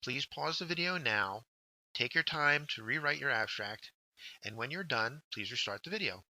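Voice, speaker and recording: A man narrates a scripted workshop lesson in a very bright, forward voice at a middle pitch. He speaks directly to learners and takes them through instructions one step at a time. The sound is dry and close, with very little room around it. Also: lively intonation, normal speed, no echo, rough recording.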